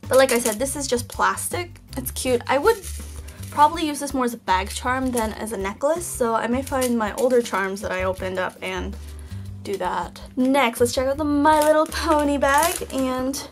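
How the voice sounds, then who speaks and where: A woman hosting a toy unboxing video in a casual, chatty way, her voice a little hoarse from just waking up. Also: high-pitched, lively intonation, moderate pace, soft background music. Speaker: toy unboxing channel host. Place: indoors at a table. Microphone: off-camera, hands-only tabletop shot.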